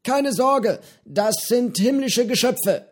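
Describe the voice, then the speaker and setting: A man's voice: extremely high pitch, animated, slow, pitched above the performer's own voice, heavily acted. A man voicing an eccentric, cheerful old male pirate who lives on an island, talking easygoingly with small fillers. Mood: jovial. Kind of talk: audiobook character voice.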